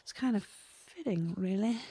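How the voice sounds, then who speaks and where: Soft, mellow, flirty frontier wife, acted by a woman. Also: medium pitch, animated, moderate pace. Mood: matter-of-fact. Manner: breathy asides, sighing, gushing. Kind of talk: comic western audio drama acting.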